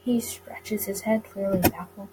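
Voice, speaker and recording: A woman's voice: medium pitch, steady tone, a little slow, mellow, slight background noise, rough recording. A woman reading a visual novel aloud, narrating and stumbling over the odd word before rereading it. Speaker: let's-play reader of a visual novel.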